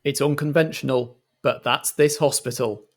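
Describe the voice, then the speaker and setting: Mid-pitched man narrating roleplay. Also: steady tone, normal speed. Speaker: game master.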